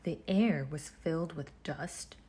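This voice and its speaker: A woman reading a children's storybook aloud in measured phrases with deliberate pauses, her husky voice turning breathy as phrases end. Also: low voice, dark tone, a little hiss or hum. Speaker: storybook reader. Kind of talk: children's read-aloud narration.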